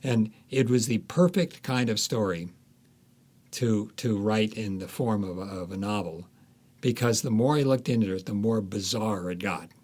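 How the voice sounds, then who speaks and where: Low-voiced man telling stories in interview. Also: moderate pace, a little mellow. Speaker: interviewed author. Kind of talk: conversational interview storytelling.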